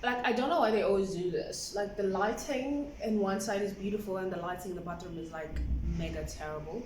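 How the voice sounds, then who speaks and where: A woman's voice: mid-range voice, brisk, darkish tone, a little hiss or hum. Chatty woman in her twenties. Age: 20s. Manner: chatty, rambling, fills with "like", laughs often. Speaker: vlogger. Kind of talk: casual vlog chat. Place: indoor room at home. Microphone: camera on a stand. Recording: roomy, slightly distant mic with faint ambience.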